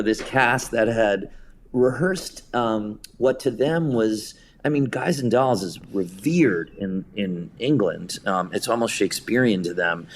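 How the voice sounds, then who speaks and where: A man speaking as an interview guest and theatre director, telling casual anecdotes with searching pauses and quoted asides. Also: fairly low pitch, a little mellow. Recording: clear background noise.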